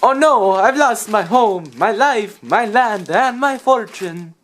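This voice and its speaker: A man's voice: high voice, lively intonation, slow pace, fairly bright tone, pitched above the performer's own voice, full character voice. A man voicing a wailing, melodramatic French settler lamenting his losses in an amateur puppet show. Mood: despairing.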